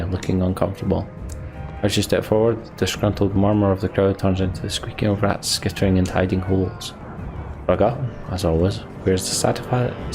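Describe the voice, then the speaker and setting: A man's voice: sits low, creaky, poor audio. A man hosting a let's play, reading game narration aloud and adding his own commentary.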